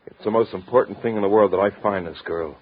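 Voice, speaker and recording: An American actor playing a moody, sardonic, lovesick sketch artist with an obsessive streak, clipped in delivery, in a 1950s radio drama. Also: male voice, on the low side, fast, slightly rough. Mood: intense, obsessive. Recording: dull, muffled vintage radio recording.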